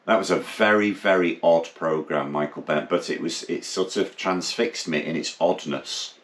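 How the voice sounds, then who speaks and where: A man's voice: low voice, moderate pace. A man from England co-hosting a podcast, reminiscing in casual chat and often tagging his remarks with questions. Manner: chatty, reminiscing, tag questions. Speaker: podcast co-host.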